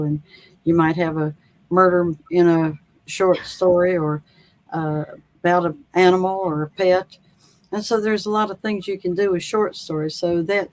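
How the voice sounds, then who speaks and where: A woman author from North Carolina with a Southern accent, chatting in an interview in a slow, folksy, rambling way with many pauses and fillers. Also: fairly low pitch, darkish tone, poor audio. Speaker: author guest. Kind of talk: casual interview.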